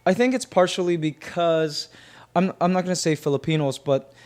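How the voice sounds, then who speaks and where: A man's voice: fairly high pitch, normal speed, a little mellow. A man from Canada, a guest on a podcast, talking things through thoughtfully with many restarts. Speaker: guest, YouTube comedian. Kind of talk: casual podcast interview.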